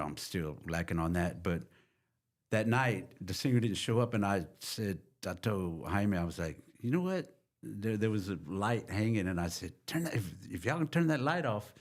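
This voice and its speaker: An older man from Texas, a guest musician, telling long rambling stories in a relaxed chat. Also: lowish voice. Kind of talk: casual interview storytelling.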